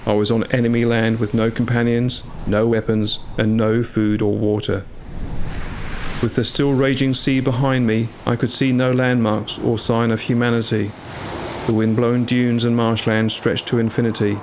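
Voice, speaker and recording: A man playing a humble English medieval longbowman, telling his story in a solemn, measured, scripted dramatic narration. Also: mid-range voice, level tone, a little mellow, slight background noise, lightly acted. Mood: bleak, weary. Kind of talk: dramatic scripted first-person narration over music. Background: music.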